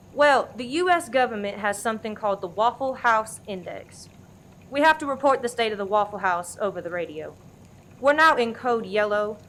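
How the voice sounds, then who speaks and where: A woman's voice: fairly high pitch, fairly bright tone, slight background noise, natural voice. A woman playing a bossy, no-nonsense diner manager in a farcical radio play, commanding and official. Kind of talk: farce radio play acting.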